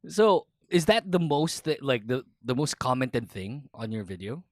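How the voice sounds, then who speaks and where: Animated Filipino man hosting a podcast. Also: mid-range voice, normal speed, no echo, clean studio sound. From Philippines. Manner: animated, laughs often, mixes English with Tagalog. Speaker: podcast host. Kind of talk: casual chat.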